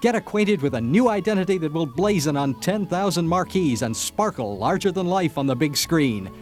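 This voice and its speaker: Grandiose radio pitchman, acted by a man: dramatic, very animated delivery over soft music. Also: normal speed, close to an everyday voice. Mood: grand, enticing. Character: grandiose contest announcer selling a movie star's glamorous, tragic life. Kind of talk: satirical radio ad, scripted announcing. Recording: music bed under the voice.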